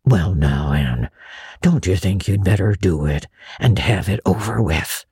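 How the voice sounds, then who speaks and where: Storybook older countryman, acted by a woman: deep, breathy, bashful, kindly, haltingly coaxing. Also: animated, no echo, full character voice. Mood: nervous coaxing. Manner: hesitant, hushed, repeats himself. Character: shy, kindly older farm man, gently coaxing.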